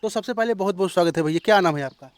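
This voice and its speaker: A man's voice: high-pitched, rapid-fire, dry recording, studio quality. A man hosting a channel and interviewing a couple, talking in a loose, repetitive way. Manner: repeats phrases, loose rambling intro. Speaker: host, interviewer. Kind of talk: casual roadside interview.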